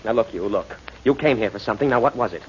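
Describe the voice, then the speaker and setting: A man plays an impatient male gang boss in a forties radio crime play. He is cold and scheming, giving curt orders with a very animated, swooping intonation. The sound is dull, with some background noise from the old broadcast. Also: fairly low pitch, quick pace, natural voice. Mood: irritated, suspicious. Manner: curt, commanding. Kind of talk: 1940s radio crime drama acting. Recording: muffled, noisy 1940s radio broadcast.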